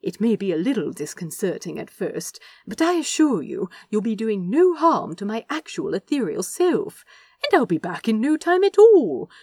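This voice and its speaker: A woman's voice: medium pitch, big pitch swings, normal speed, darkish tone, slightly rough, no echo. A woman reading aloud and voicing a prim, polite male angel with a British accent, fussy and old-fashioned in his phrasing. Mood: reassuring.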